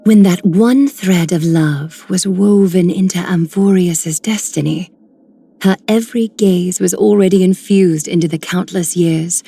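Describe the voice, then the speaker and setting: A lowish, breathy woman's voice for a poetic noblewoman in a fantasy game. She is composed and lyrical, with a mellow, serene tone. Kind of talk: video game character voice lines.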